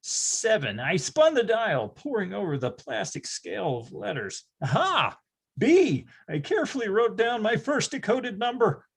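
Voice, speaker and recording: Theatrical storyteller acted out, nostalgic and grandiose, widely swinging pitch, husky voice, excitable stage narration. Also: unhurried, heavily acted. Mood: building excitement. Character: excitable nostalgic narrator recalling his nine-year-old boyhood, grandiose.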